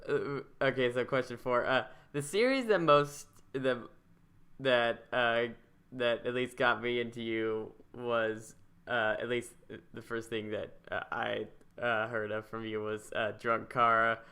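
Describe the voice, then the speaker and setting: Guy hosting a podcast, crisp bright voice, kinda stammery and rambly, casual. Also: mid-range voice, fairly even intonation, measured pace. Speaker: podcast host. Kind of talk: casual interview chat.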